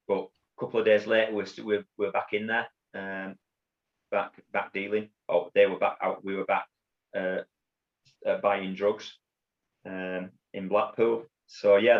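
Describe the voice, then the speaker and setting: A middle-aged man with a Yorkshire accent, telling his story as an interview guest in a halting way, with many restarts and repeated words. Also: on the low side. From Bradford, England. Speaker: guest, former undercover police officer. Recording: dull lo-fi audio.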